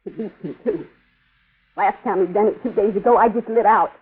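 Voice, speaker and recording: A woman's voice: medium pitch, normal speed, slightly rough. A woman playing a tough, proud sixteen-year-old runaway farm girl in an old radio western, with a sassy rural Western American drawl. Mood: bitter. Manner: sassy, folksy, ungrammatical drawl. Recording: muffled, narrow-band old radio recording.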